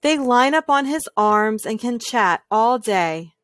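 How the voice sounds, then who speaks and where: A woman reading a children's picture book aloud in a calm, gentle, measured way, pausing between phrases. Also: on the high side, dry recording, studio quality. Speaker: read-aloud storyteller.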